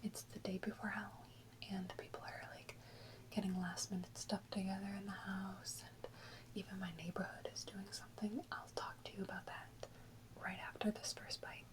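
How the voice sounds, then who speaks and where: A woman's voice: mid-range voice, level tone, moderate pace, low-quality recording. A woman hosting an ASMR video in a whisper, chatting casually and playfully to her viewers.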